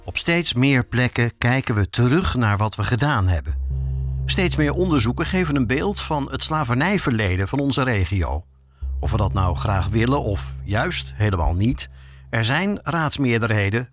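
Dutch man narrating with lively intonation. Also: medium pitch, moderate pace, soft background music. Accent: Netherlands Dutch. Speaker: podcast host and reporter. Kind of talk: scripted narration.

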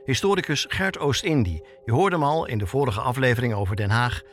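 A man's voice: medium pitch, lively intonation, fairly quick. A man narrates a documentary podcast as its host, in a mid-range voice. He delivers scripted lines like a storyteller, with faint music underneath. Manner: storytelling, with rhetorical questions.

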